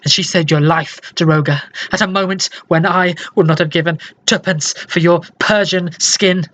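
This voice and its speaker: A man playing a dying, lovesick, tormented man in a dramatic monologue, halting and breathy, often sinking toward a whisper. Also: high voice, fairly even intonation, a bit bright. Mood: bitter, insistent. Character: dying, lovesick tormented man confessing his tender, morbid obsession. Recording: dull, slightly noisy home recording.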